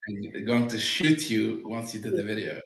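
A man, a real estate agent guest, speaking in blunt, short emphatic phrases with halting English. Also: fairly low pitch, fairly even intonation, creaky, a bit bright, reverberant, rough recording. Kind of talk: casual interview chat.

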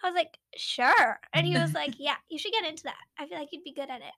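A young woman co-hosts a casual podcast in a noticeably high voice. She talks chattily and giggles often, with plenty of likes and ums along the way. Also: fast talker, sharp tone. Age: young adult. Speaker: podcast co-host. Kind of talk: casual chat.

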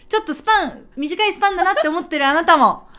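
A woman hosting a casual comedy podcast, bantering in a playful, teasing, excitable way. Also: very high-pitched, fast. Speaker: podcast host.

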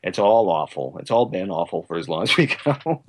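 A male writer being interviewed in a relaxed chat. His fairly low voice moves around a lot, and he comes across as effusive and readily amused. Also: brisk. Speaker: guest, radio drama writer.

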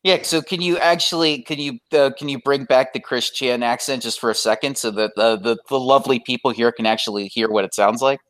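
A young American woman interviewing her guest in a lively, rambling way, full of restarts. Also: a bass voice, fairly quick, hardly any pauses. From United States. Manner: animated, rambling, many restarts. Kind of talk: casual interview chat.

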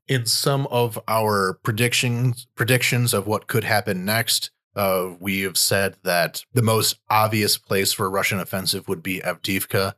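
Podcast host, male, lowish voice, relaxed commentary that restarts mid-sentence. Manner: loose, thinking aloud with frequent restarts. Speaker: podcast host and war reporter. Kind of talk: conversational news commentary.

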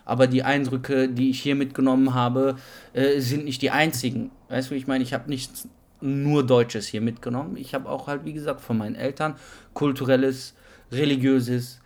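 A man's voice: medium pitch, little pitch movement, moderate pace, a little mellow. A young man from Germany co-hosting a casual podcast chat, using street slang, filling his talk with fillers and restarting his sentences. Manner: slangy, calls the other man brother, many fillers and restarts. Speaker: podcast co-host.

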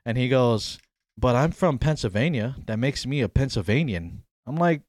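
A man's voice: medium pitch, normal speed, dry recording, clean studio sound. A man from Hawaii hosting a podcast, chatting casually and rambling a bit as he explains things. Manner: relaxed, rambling, often says "you know what I mean". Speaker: podcast host.

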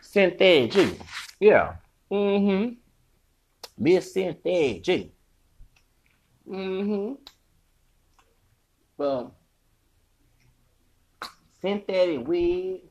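A man's voice: sits high, very animated, very slow, pauses often, a little mellow. A man hosting a solo podcast in a rambling, profane rant, with a feminine-sounding voice and frequent laughing, sing-song asides. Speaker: podcast host.

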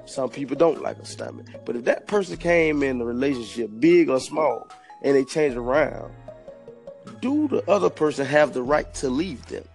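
A man in his fifties co-hosting a podcast, hoarse from a cold, laid-back and quick to laugh. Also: medium pitch, animated, darkish tone, soft background music. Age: 50s. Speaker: podcast co-host. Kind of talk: casual podcast banter.

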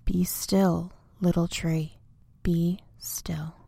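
A woman voicing a calm, wise old male tree in a children's storybook, speaking low and soothing. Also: takes it slowly, no room sound. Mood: hushed.

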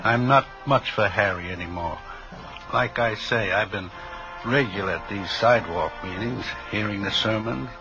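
A man's voice: lowish voice, moderate pace, close to an everyday voice, rough recording. An actor playing a folksy, pious reformed drug peddler in his fifties, rambling and easily distracted, in a 1950s radio drama. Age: 50s. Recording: old radio drama, music playing behind.

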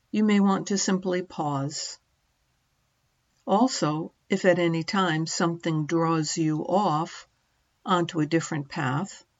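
A middle-aged woman narrating a guided meditation as a teacher, gently and in short measured phrases with long pauses. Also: on the low side, highs cut off.

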